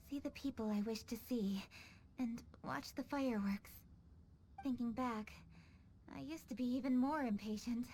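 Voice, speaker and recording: A woman playing an earnest, dutiful young noblewoman in an anime-style game, speaking in a measured, thoughtful and composed way. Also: on the high side, a little mellow, close to an everyday voice. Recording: music under the voice.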